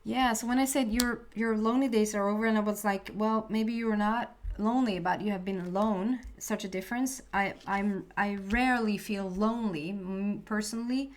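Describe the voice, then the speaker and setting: A woman's voice: mid-range voice, fairly even intonation, normal speed, hardly any pauses. A woman with a Swedish accent, a Swedish native speaker giving a casual tarot reading, chatty and often searching for the right words. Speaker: tarot reader.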